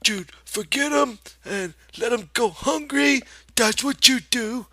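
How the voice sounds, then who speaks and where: A man voicing a giant imaginary rabbit that sneers and scolds in a high, harsh voice. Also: slow pace, bright, forceful tone, dry recording, heavily acted. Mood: scornful. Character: giant scornful imaginary rabbit, male, taunting.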